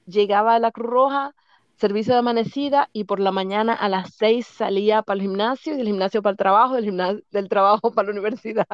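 Dominican woman, middle-aged, rambling chat, smooth, dry close sound. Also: mid-range voice, fairly even intonation, quick pace, dry recording, dull audio. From Dominican Republic. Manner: rambling, repeats names, laughs often. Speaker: guest. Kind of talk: casual interview, reminiscing.

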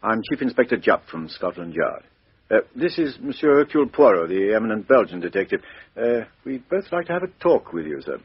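A brisk Scotland Yard chief inspector. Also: male voice, lowish voice, very animated, husky. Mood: questioning. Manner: brisk, breezy, lots of "uh". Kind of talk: 1950s radio drama acting. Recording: dull old radio broadcast.

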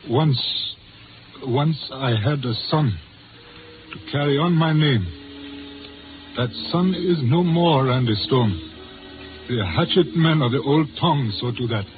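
A man's voice: mid-range voice, takes it slowly, pauses often, over music, lightly acted. An actor playing a stern, fearful Chinese restaurant-owning father, speaking formally and sententiously in a 1950s radio drama. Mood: grieving, severe. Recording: noisy, tinny 1950s radio recording.

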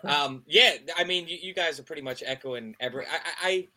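A man from the Philadelphia area co-hosting a sports podcast, talking in a loose, excitable way. His voice is bright and forceful, and he laughs often and stumbles over repeated words as he tells a story. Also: fairly high pitch, fast talker. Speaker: podcast co-host. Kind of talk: casual sports chat.

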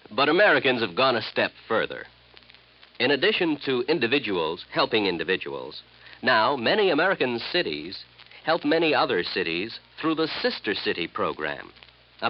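A man reading a scripted, formal public service message as a radio announcer, earnest and measured. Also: mid-range voice, breathy edge. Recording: muffled old radio broadcast.

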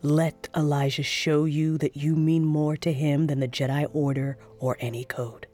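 A woman's voice: deep voice, flat delivery, moderate pace, mellow, faint music behind. A woman acting in an audio drama as a protective aunt, soothing and firm. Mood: calm, firm. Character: steady, protective aunt calming an angry child.

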